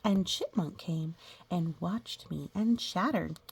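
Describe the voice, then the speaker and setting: A woman reading a picture book aloud to young children, gently pausing to explain things and ask questions. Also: lowish voice, vocal fry, noisy background. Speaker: children's story reader.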